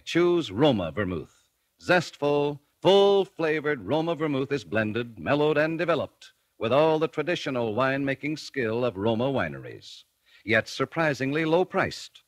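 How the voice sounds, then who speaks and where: A man's voice: medium pitch, fairly bright tone, no room sound, clean studio sound. A man working as a sponsor's announcer, reading a scripted 1940s radio advertisement.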